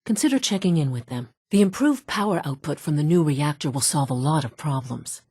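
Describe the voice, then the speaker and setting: A poised woman scientist from a video game, with a notably low voice and a husky edge. She speaks in measured, formal sentences and stays matter-of-fact, like someone used to running a facility. The sound is dry and close, with no room around it. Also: lively intonation, mellow, no room sound, exaggerated voice. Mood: brisk, businesslike. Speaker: video game character, facilities division head. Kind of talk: scripted video game dialogue.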